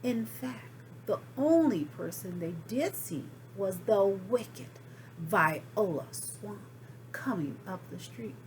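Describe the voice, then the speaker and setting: A woman reading a children's story aloud as expressive narration, with deliberate, dramatic phrasing. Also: mid-range voice, a little hiss or hum, poor audio. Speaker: storybook reader.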